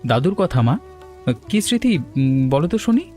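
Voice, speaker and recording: A man voicing a practical young married son in a cartoon folk tale, brisk in manner. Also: fairly high pitch, very animated, warm tone, faint music behind. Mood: gentle, measured. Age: young adult.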